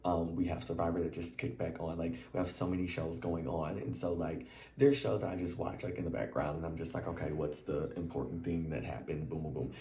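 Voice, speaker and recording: Recap host, male, deep voice, a bit worn out, chatty and animated, echoey room. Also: a little fast, a little hiss or hum. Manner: animated and chatty, slangy, leaps into high exclamations. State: voice a little worn. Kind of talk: casual chat to camera.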